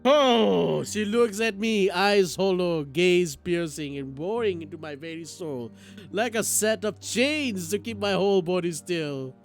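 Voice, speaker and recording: A man streaming a game, reading its story aloud in an expressive, theatrical way. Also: sits high, a little slow, faint music behind. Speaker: streamer playing a visual novel. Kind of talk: dramatic read-aloud narration with live reactions.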